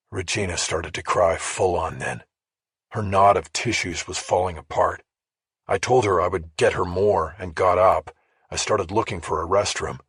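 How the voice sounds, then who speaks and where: Casting: male narrator for a crime thriller, very raspy, lowish register, measured, close dry sound. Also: fairly bright tone, no room sound. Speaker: audiobook narrator. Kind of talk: scripted first-person crime thriller narration.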